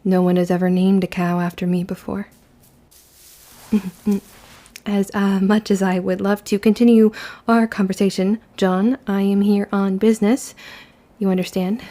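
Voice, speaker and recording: Actress as a formal young witch, mellow voice. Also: mid-range voice, little pitch movement, natural voice. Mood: polite, flustered. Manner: formal, measured, old-fashioned diction. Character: young orphan witch, formal and wry, recalling her past. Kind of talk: period audio drama narration.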